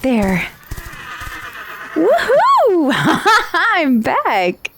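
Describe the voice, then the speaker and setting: A woman's voice: very high-pitched, huge pitch swings, extremely slow, background noise, heavily acted. Friendly magical severed head, female dullahan, played by an actress, ASMR roleplay. Leisurely pace, casual and a little hesitant. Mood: excited, exhilarated. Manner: casual, hesitant asides with sighs and murmurs. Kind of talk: ASMR roleplay acting, addressing the listener.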